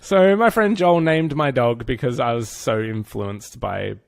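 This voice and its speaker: A man's voice: medium pitch, measured pace, gravelly, no room sound, clean studio sound. A man co-hosting a podcast in casual comedic chat, bantering with a mock-earnest, joking manner. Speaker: podcast co-host.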